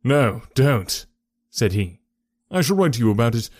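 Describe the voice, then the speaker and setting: A man reading aloud, voicing a calm, sharp-minded male detective who speaks smoothly and with quiet command. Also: medium pitch, unhurried, no echo, studio quality.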